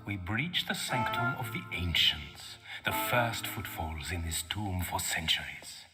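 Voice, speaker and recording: A man's voice: low-pitched, animated, measured pace. An actor playing a pompous, impatient older male archaeologist and mentor in a video game cutscene, theatrical and imperious in manner. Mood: solemn, challenging. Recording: game music behind the voice.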